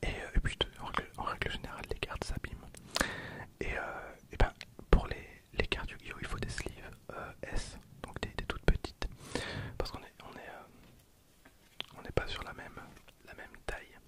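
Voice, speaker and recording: Whispering young man, ASMR style. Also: moderate pace. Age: 20s. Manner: hushed, softly murmured, informal. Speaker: ASMR video creator. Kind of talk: whispered ASMR, casual card-opening commentary.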